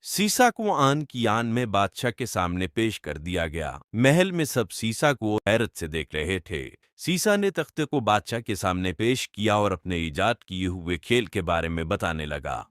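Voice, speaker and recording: Deep-voiced male storyteller, expressive. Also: a little slow, hardly any pauses, no echo, clean studio sound. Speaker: storyteller, narrator. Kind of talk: scripted storybook narration in Urdu.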